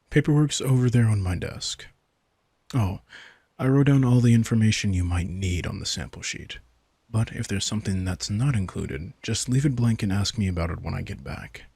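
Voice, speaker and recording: A gravelly, close and dry voice for a vampire king acted by a man in an intimate audio drama. He is a casual, manipulative charmer who talks in a low register. His manner is teasing and softly condescending, with a smug ease. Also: no echo, full character voice. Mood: brisk, offhand.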